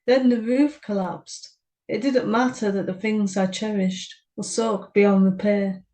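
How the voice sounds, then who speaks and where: A woman reads her own poem in a quiet, measured voice with a mellow, warm tone. She chats with dry humour between readings. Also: mid-range voice, steady tone. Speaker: podcast co-host and poet.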